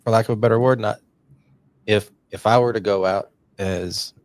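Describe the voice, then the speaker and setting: A man's voice: on the low side, fairly even intonation, normal speed, dry recording, studio quality. A middle-aged man hosting a podcast, talking in an earnest, encouraging, rambling way. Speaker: podcast host. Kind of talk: casual podcast conversation.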